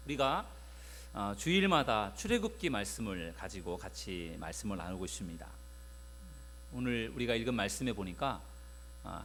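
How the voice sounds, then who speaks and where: A male pastor giving a Sunday sermon in a conversational way, often pausing on filler sounds while he retells a Bible story to the congregation. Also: lowish voice, fairly bright tone, slight background noise.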